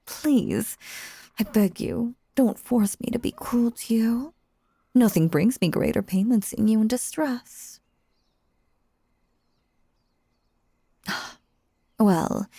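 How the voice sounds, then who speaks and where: A woman playing a sinister, possessive stage magician in a dramatic audio roleplay, with breathy, coaxing, theatrical delivery and syrupy menace. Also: mid-range voice, mellow, no echo, clean studio sound. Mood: tender, controlling, then secretive, grandiose.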